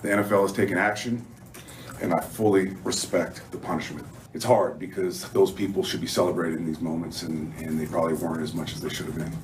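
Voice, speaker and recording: Middle-aged male coach, low register, sober prepared statement, press-room sound with faint noise. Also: fairly even intonation, fairly quick, recorded from a distance. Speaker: football coach at press conference. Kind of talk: prepared public apology statement.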